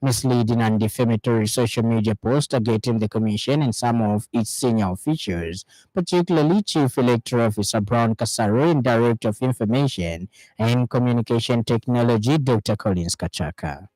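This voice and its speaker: A man with a Zambian accent reading a scripted radio news bulletin in a formal, measured way. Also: medium pitch, warm tone, dry recording, poor audio. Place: radio studio.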